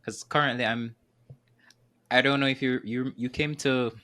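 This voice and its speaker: Bright-voiced man in animated chat. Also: mid-range voice, normal speed. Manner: animated, laughs often, hedges with "you know". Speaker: podcast co-host. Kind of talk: casual investing chat.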